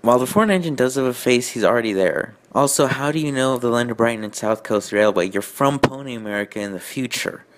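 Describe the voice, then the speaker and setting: A man with a medium-pitched voice presents a review show. He moves between reading aloud and sarcastic commentary. His delivery is deadpan, with mock indignation. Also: fast, few pauses. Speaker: host of a fan fiction review show.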